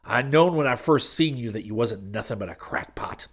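A man voicing a pushy, folksy con-man preacher in an audiobook, impassioned and a little hoarse. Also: mid-range voice, animated, moderate pace. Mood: growling, scornful. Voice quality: hoarse, breathless.

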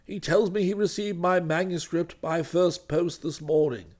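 Guy doing a stuffy old english uncle, deep n pompous, fussy, storybook. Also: unhurried, darkish tone. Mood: pompous, put out. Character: indignant, pompous elderly English country gentleman uncle.

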